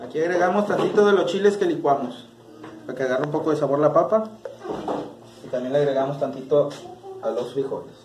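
A man in his thirties with a Mexican accent, hosting a casual cooking tutorial in a small home kitchen. He talks straight to the camera, relaxed, while he chops and stirs. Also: a little high, brisk, pauses often. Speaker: cooking channel host. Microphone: camera mic, medium distance. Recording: echoey kitchen with faint noise.

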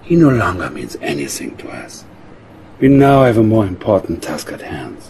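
An actor playing a middle-aged, coldly calculating Soviet commander, speaking in a measured, menacing way. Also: male voice, low voice, big pitch swings, creaky, darkish tone, slight background noise, exaggerated voice. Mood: solemn, commanding.

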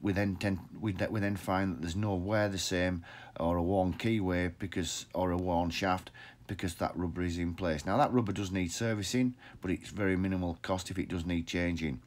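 A man's voice: low voice, steady tone, moderate pace. A middle-aged man in a workshop, explaining machines plainly into a phone held close below his face, repeating words and restarting often. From England. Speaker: pressure washer business owner. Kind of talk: informal how-to explainer. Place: indoor workshop with equipment shelves. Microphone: phone camera held close below the face.

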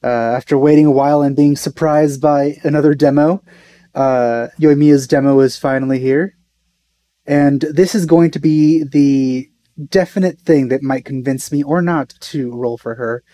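A man's voice: fairly high pitch, steady tone, normal speed, mellow, dry recording. A young man giving casual, understated reaction commentary as a video host, musing and hesitant as he goes. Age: young adult.

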